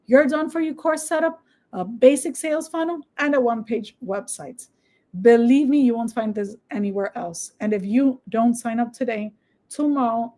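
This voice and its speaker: A woman from the Dominican Republic, a native Spanish speaker, speaking English with a Spanish accent and a somewhat high voice. She walks viewers through software on screen in a brisk, persuasive teaching style. She keeps stopping to check in with a quick "okay?". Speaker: online business coach. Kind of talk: screen-share tutorial and sales pitch.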